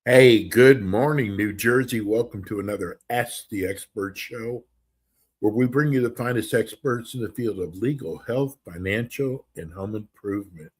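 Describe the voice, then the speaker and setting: A man's voice: fairly low pitch, normal speed, slight background noise. A man in his sixties hosting a casual radio talk show, upbeat and earnest in a folksy way. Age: 60s.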